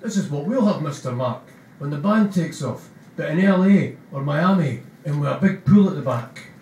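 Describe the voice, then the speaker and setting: A man's voice: fairly high pitch, animated, moderate pace, darkish tone, a little hiss or hum. A man voicing an eager, chatty young male drummer with a Scottish accent, rambling earnestly. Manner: earnest, rambling rock trivia. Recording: roomy, distant mic.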